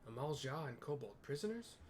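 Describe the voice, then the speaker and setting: A man's voice: medium pitch, very animated, brisk, a little hiss or hum. A man narrating a video game live, describing the scene informally for viewers. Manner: descriptive, informal, reads dialogue aloud.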